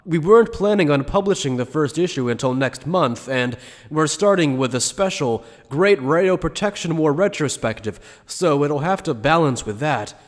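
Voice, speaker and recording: A man's voice: on the high side, expressive, moderate pace, lightly acted. A man playing an earnest, dutiful junior officer in an anime fan dub, polite and easily flustered. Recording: long hall reverb.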